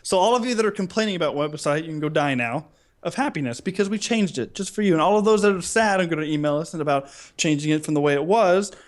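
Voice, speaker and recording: High-pitched man bantering as podcast co-host. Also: quick pace. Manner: jokey, rambling. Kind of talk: casual comedic podcast banter.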